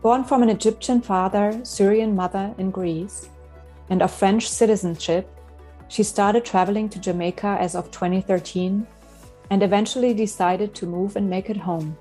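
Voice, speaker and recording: A woman's voice: medium pitch, fairly even intonation, soft background music. Woman podcast host. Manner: measured, reads guest intro, then soft affirming mm-hmms. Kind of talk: scripted introduction and interview questions.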